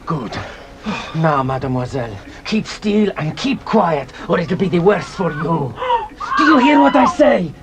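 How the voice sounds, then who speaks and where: An actor playing a callous, greedy kidnapper in a radio drama, curt, scornful and bullying. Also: male voice, fairly high pitch, very animated, measured pace, far from the mic. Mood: menacing.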